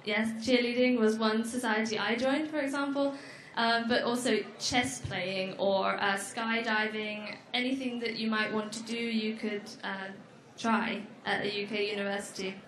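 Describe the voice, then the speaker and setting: A young woman giving a friendly presentation at an education fair, explaining slowly with small fillers. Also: fairly high pitch, fairly even intonation, fairly bright tone. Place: large echoey exhibition hall. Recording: echoey hall.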